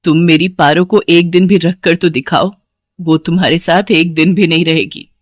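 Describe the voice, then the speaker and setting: A woman's voice: lowish voice, fairly even intonation, normal speed, no echo, close to an everyday voice. Woman voicing a proud village mother, dry sound. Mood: wounded, firm. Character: devoted village mother, proud, wounded, protective. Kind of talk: Hindi cartoon moral story acting.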